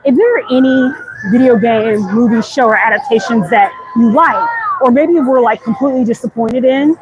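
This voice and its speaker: High-pitched American woman livestreaming amid noise. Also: normal speed, lo-fi sound. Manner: chatty, slangy, addresses viewers as y'all. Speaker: vlog host. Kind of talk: casual livestream chat. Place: walking outdoors in a busy theme park. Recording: live outdoors with clear background noise. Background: theme park ambience.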